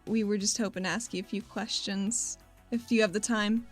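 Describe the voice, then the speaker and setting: A woman's voice: medium pitch, fairly even intonation, moderate pace, soft background music. A woman playing a tabletop game, speaking in casual role-play and table chat with a polite, tentative manner.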